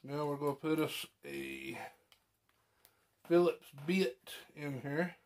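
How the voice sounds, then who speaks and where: A man's voice: fairly high pitch, wildly swooping pitch, slow. A man in his thirties giving a casual, folksy how-to, muttering to himself as he works indoors, a short distance from the camera. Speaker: DIY host. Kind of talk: casual how-to talk while working. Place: cluttered room indoors at home. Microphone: camera a short distance away. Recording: noisy room with clicking tool sounds.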